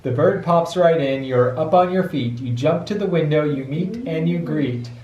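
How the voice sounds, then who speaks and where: A man, a father reading a rhyming picture book aloud to a child in a playful, expressive way. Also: fairly high pitch, normal speed, muffled recording. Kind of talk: storybook read-aloud in rhyme. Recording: echoey room with light background noise.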